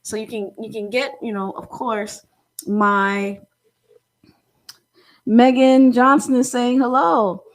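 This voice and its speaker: A woman's voice: on the high side, fairly even intonation, takes it slowly, long pauses, poor audio. A woman hosting a live-streamed interview, speaking in a chatty, encouraging way. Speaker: host.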